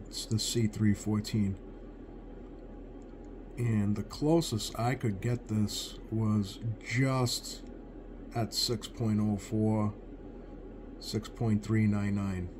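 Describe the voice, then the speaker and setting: A man giving a casual hands-on repair demonstration at his workbench, talking haltingly and pausing often as he works. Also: on the low side, background noise. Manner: halting, hesitant, pausing often while working. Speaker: radio repair hobbyist presenting a bench video. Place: indoors at a workbench.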